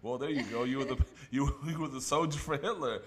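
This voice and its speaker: A man's voice: medium pitch, a little fast, a bit bright, a little hiss or hum. A man hosting a casual radio chat, rambling and joking as he acts out other voices. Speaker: radio host.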